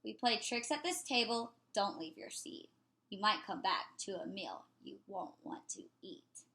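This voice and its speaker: A woman reading a children's picture book aloud in an expressive, playful way. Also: mid-range voice, a little slow.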